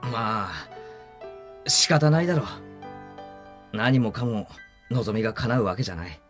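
A man playing a brooding, resigned young man in a Japanese anime audio drama, with terse, gruff, low-key delivery. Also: animated, a little slow, a bit bright, faint music behind.

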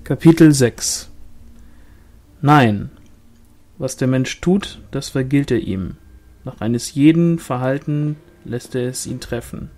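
A man's voice: mid-range voice, measured pace, mellow. A man reading literary narration from a novel as an audiobook. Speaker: audiobook reader. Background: music.

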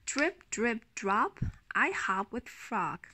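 A woman's voice: fairly high pitch, very animated, very slow, sharp tone, breathy edge, full character voice. A woman voicing a playful, childlike little duckling in a storybook, with a sing-song rhyming delivery. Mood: happy, playful. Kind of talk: children's picture-book storytelling.

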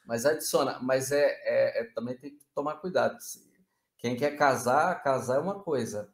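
Younger co-host, 30s, mid-pitched, playful joking, echoey room pickup. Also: male voice, moderate pace. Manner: joking, evasive about his age. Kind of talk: casual live-stream chat. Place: home room with bookshelves. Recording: roomy mic pickup.

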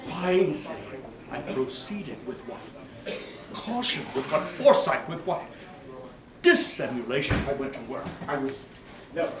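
A man in his sixties acting a mad, cunning, gleeful murderer in a live gothic horror monologue, theatrical, with deliberate pauses and sudden outbursts. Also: on the high side, distant mic. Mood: sly, boastful. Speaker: stage actor. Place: small brick-walled cafe with seated audience. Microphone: distant camera microphone. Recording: roomy, noisy recording from across the room.

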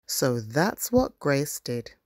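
A woman's voice: a bass voice, big pitch swings, slow pace, a little mellow, dry recording, clean studio sound. A woman reading a children's picture book aloud as scripted narration.

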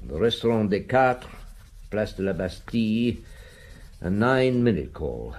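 A mellow, musing police inspector. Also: male voice, lowish voice, sing-song, a little slow. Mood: businesslike. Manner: musing, wry, hems and murmurs to himself. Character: shrewd, stubborn bedridden middle-aged male French police chief inspector. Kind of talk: British radio drama acting.

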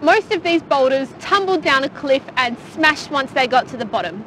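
A young woman in her twenties, a geologist presenting a lively, enthusiastic science lesson outdoors on a rocky beach. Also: high-pitched, moderate pace, noisy background. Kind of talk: scripted science explainer.

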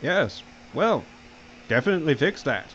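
A man's voice: a little high, very animated, normal speed. A man acting a slick, flirtatious, self-assured boss in an audio drama. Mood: flirtatious, smug. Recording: dull, slightly noisy recording.

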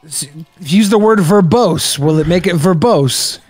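A man's voice: high voice, a little slow, slightly rough, soft background music. A man chatting casually as a panelist on a comedy show. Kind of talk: casual chat.

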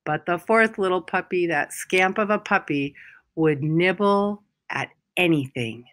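A woman reading a children's picture book aloud as a storyteller, with deliberate, expressive phrasing and emphasized words. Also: on the low side.

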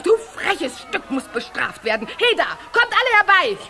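A wicked, conceited witch in a children's radio play. Her voice is extremely high and shrill, swooping widely as she scolds and accuses. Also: sing-song, moderate pace, heavily acted. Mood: outraged, scolding.

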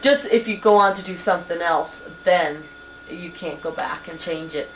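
A young woman patiently teaching word processing at a desk computer, with many pauses and ums, recorded on a 1990s camcorder in a small home office. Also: on the low side, a bit bright, low-quality recording. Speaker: computer instructor. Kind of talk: hands-on software tutorial. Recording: 1990s camcorder with faint hiss.

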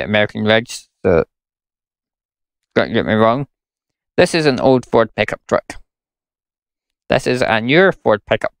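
Gravelly young Scottish man ranting. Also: fairly low pitch, fairly bright tone, no echo. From Scotland. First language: English. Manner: blunt, exasperated, sarcastic. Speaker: gaming YouTuber. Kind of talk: ranting gameplay commentary.

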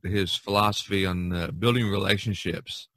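A man hosting an artists' podcast over a video call, rambling and halting with many fillers. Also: low voice, steady tone, fairly bright tone, no echo. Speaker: podcast host. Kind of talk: casual video-call chat.

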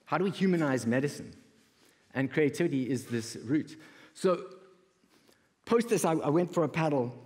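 A middle-aged man with a South African accent giving a stage talk, telling personal stories with pauses and repeated words. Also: medium pitch, darkish tone. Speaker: conference speaker, creative entrepreneur. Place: large indoor auditorium stage. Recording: reverberant auditorium hall.

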